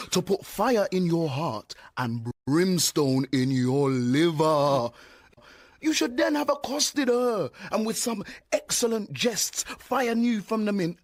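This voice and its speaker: A witty schemer in radio Shakespeare. His voice is lightly high and closely recorded with little room, flattering and persuading in articulate, mock-earnest phrases. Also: no echo, heavily acted. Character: sly, witty male conspirator flattering a foolish knight. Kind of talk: Shakespeare radio drama acting.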